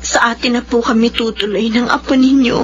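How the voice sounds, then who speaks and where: Woman acting as high-pitched long-suffering Filipino wife. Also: steady tone, fairly quick, highs cut off, a little hiss or hum, close to an everyday voice. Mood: weary, tearful. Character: hardworking long-suffering wife and mother, hurt, resolute. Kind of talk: Filipino radio drama acting.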